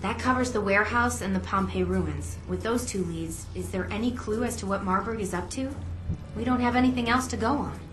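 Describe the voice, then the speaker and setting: Female game role, actress: concerned, inquisitive ally, middle-pitched, earnest delivery, roomy with music behind. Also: brisk, natural voice. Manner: earnest, questioning. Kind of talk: video game cutscene dialogue.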